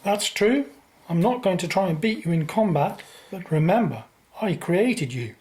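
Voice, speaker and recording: An actor playing a resolute, self-sacrificing young hero in a radio drama, with a solemn, defiant delivery. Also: male voice, sits high, lively intonation, moderate pace, darkish tone.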